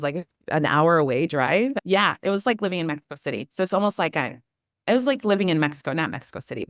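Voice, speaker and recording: A woman with a low, dark-toned voice, raised in the Amazon with Portuguese as her first language. She tells her stories in a loose, excitable flow, full of "like" and restarts. The close mic sounds dry, with no room echo. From Brazil, Amazon region. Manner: rambling, animated, many restarts and "like". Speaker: podcast guest. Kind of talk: casual interview storytelling.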